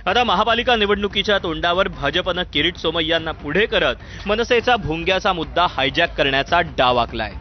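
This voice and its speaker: High-pitched man narrating a dramatic news report. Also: animated, brisk, hardly any pauses. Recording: slightly dull sound over music. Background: background music.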